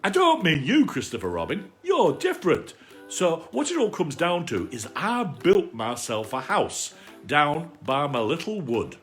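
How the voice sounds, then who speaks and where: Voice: medium pitch, huge pitch swings, measured pace, fairly bright tone, faint music behind, voice pushed up. A storybook reader voicing a gloomy, self-pitying old male donkey with melancholy, sarcastic grumbling. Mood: gloomy, aggrieved.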